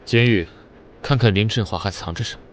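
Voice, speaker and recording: Stern young-action-hero commander, male actor: lowish, clipped, cool authority, animated drama. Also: fairly quick, slight background noise, natural voice. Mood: resolute. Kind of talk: animated motion-comic drama dubbing.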